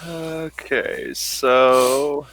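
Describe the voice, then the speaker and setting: A male game master with a mid-range voice narrates a tabletop role-playing game. He improvises as he goes, halting and picking up again as he sets the scene and calls for rolls. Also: mid-range voice, animated, vocal fry, fairly bright tone, a little hiss or hum.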